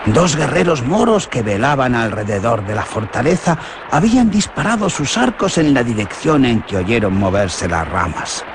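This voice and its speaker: A man narrating a radio horror drama in a theatrical, dramatic way. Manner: theatrical, with big swings in pitch. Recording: noisy radio drama recording.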